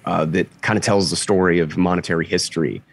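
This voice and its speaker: A man being interviewed, explaining casually and haltingly as he thinks aloud, his voice slightly congested after losing it. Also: low voice, steady tone, gravelly. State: slightly congested, recovering from lost voice. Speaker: interview guest.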